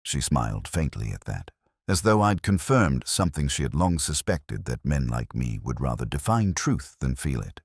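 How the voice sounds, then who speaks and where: Brooding older detective , male actor, extremely low voice with expressive swings, formal and reflective first-person drama narration. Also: normal speed, husky, dry recording, studio quality, heavily acted. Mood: grave, restrained.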